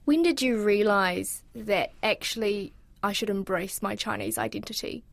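A woman, hosting a news podcast, reading scripted narration and asking interview questions in a measured way. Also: mid-range voice, creaky, no room sound. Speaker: podcast host.

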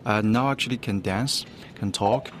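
A man's voice: lowish voice, moderate pace, very noisy. A man explaining and demonstrating in an interview, speaking non-native English. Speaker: robot demonstrator.

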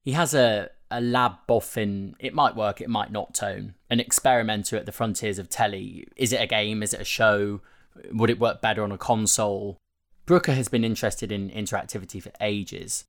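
A man narrates a long written feature in a fairly low voice. He reads from a script as a narrator, moving through prose and quoted remarks. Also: steady tone, moderate pace. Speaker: narrator reading a newspaper feature. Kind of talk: scripted narration of a print interview, with quotes read out.

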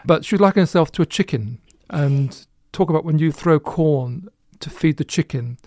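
A man's voice: a little high, normal speed, a little mellow, no room sound. A man who is an author, telling anecdotes in an interview with dry humour. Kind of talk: interview storytelling.

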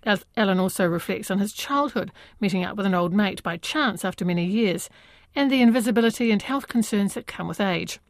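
A woman's voice: mid-range voice, a little fast, dry recording, clean studio sound. A woman, hosting a radio arts interview and asking conversational questions.

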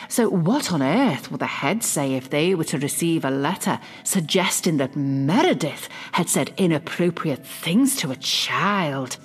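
Low-voiced, smugly possessive mother. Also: breathy edge, full character voice. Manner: confiding, smug, mock-innocent. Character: possessive middle-aged mother, sly, smugly self-justifying, quietly malicious. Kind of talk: dramatic monologue, confiding fiction podcast narration.

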